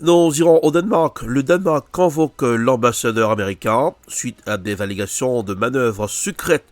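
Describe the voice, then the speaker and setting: A man's voice: mid-range voice, normal speed, no room sound, faint music behind. A man presenting a news bulletin, introducing reports with some hesitations and self-corrections. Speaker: news anchor.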